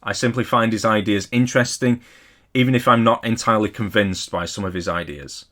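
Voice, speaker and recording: A man narrating a scripted lecture as an amateur hobbyist video host, in a measured, careful and modest explanatory manner. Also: fairly low pitch, sharp tone. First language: not Polish; English speaker.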